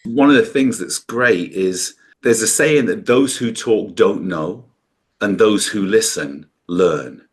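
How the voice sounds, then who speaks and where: A man with a fairly low voice hosts an interview podcast. He talks with energetic enthusiasm as he introduces his guest and asks his questions. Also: takes it slowly. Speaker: podcast host.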